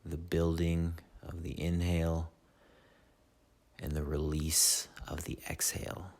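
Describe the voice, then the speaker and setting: A man leading a guided meditation in a soothing, measured way, with long pauses between short phrases. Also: rumbling low, flat delivery, a little mellow. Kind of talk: slow guided meditation narration.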